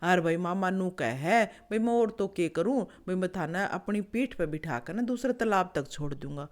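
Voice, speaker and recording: A woman's voice: fairly low pitch, animated, moderate pace, close to an everyday voice. A woman voicing a plucky young male crab in a folk tale, direct and folksy, with a Haryanvi accent. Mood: urgent.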